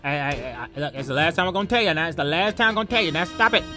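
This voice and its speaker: A man's voice: a little high, very fast, over music. A man doing comedic voiceover of basketball players and referees, with profane, slangy trash talk.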